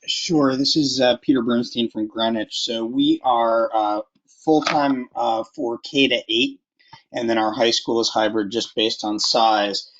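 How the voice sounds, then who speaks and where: A man's voice: medium pitch, fairly even intonation, unhurried, a bit bright. A man giving an informal update as a school board member in an online meeting. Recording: muffled video meeting audio.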